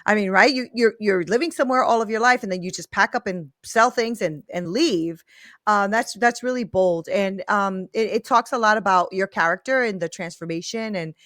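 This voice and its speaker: A woman's voice: medium pitch, moderate pace, a bit bright, no echo, clean studio sound. A woman hosting an interview show, chatting casually and enthusiastically, often tagging "right?" onto her sentences. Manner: enthusiastic, gushing, frequent "right?" and "like". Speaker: podcast host.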